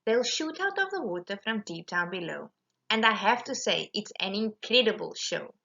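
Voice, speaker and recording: A woman reading a rhyming children's storybook aloud in an animated, emphatic way. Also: medium pitch, moderate pace, vocal fry, highs cut off. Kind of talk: expressive rhyming storybook narration.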